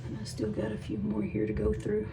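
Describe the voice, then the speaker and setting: A woman's voice: medium pitch, sing-song, a little fast. A woman with a Missouri accent, losing her voice, casually musing half to herself as she sorts stones for her vlog. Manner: muses half to herself, often drops to a whisper. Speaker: rock tumbling vlogger. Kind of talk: casual off-the-cuff commentary. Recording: noisy room ambience.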